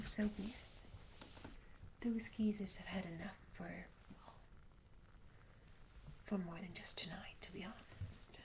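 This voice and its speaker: A woman playing a curious, polite medieval barmaid in a soft, breathy whispered ASMR roleplay, hesitant and courteous with old-fashioned formal phrasing. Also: mid-range voice, a little hiss or hum, lightly acted, low-quality recording. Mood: pleading, eager, then mildly fed up. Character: curious, polite young medieval tavern barmaid, eager for tales.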